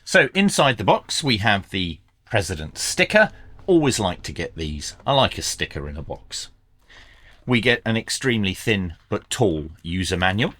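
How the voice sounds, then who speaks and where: Male product reviewer for an unboxing. Lower register, animated intonation, informal and frank delivery. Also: moderate pace. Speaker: reviewer and presenter of radio gear.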